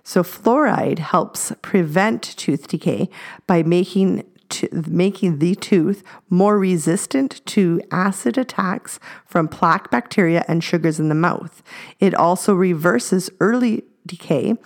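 Low-voiced woman co-host in her forties. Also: unhurried, creaky. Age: 40s. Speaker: podcast co-host. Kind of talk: reading a definition aloud.

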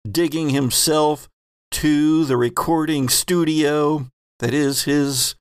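A man's voice: on the high side, steady tone, slow, smooth, dry recording, studio quality. A man hosting a tabletop roleplay podcast as game master, with an announcing delivery.

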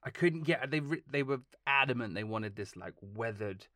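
A man talking as a film composer guest in a casual interview, telling long, lively stories and laughing along the way. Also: mid-range voice, normal speed, dry recording. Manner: animated, rambling storytelling with laughs.

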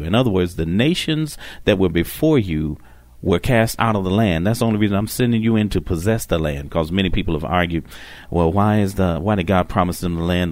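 A man preaching on a broadcast, teaching from scripture in a halting, deliberate way and often repeating his phrases. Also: low-pitched, dry recording. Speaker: preacher hosting a religious broadcast. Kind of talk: Bible teaching, unscripted explanation of scripture.

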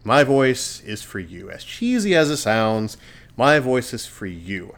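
A man of average pitch hosting a solo podcast. He talks in an earnest, encouraging way, with a smooth radio-DJ style. The voice sits in an ordinary middle range, neither high nor low. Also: sing-song, a little slow, fairly bright tone. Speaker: podcast host. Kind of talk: casual solo podcast monologue. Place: home studio.

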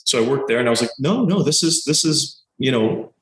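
A doctor and founder in his thirties, telling stories in an animated way and acting out quoted exchanges, with lots of "you know" fillers. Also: mid-range voice, a little fast, roomy sound, low-quality recording. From Canada. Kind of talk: conversational interview answers.

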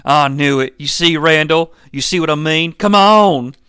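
A man's voice: fairly high pitch, a little slow, bright, forceful tone, clear, resonant tone, dry recording, studio quality. A man voicing a distressed, exasperated mother in a story, urgent and emotional.

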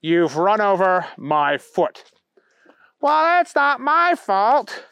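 A dim but guileless man from a children's story, put on by a male storyteller. His voice sits very high and bounces around, sounding puzzled and slow to catch on. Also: big pitch swings, fairly bright tone, clean tone, no room sound, heavily acted. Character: dim, naive, bumbling man, clueless motorist.

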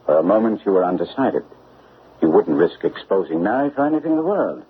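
A man's voice: deep voice, sing-song, a little fast, slightly rough, full character voice. An actor playing a shrewd, self-assured male detective in a classic radio drama, speaking precisely and formally. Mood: assured, explaining. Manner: precise, formal, incisive delivery. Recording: phone-like old radio recording, faint noise.

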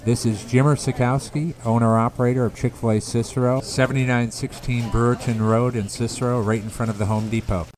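A man's voice: medium pitch, little pitch movement, fairly quick, few pauses, noisy background. A man who owns a restaurant franchise speaks earnestly in a testimonial radio ad. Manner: earnest, sincere, slight restarts. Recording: music bed under voice.

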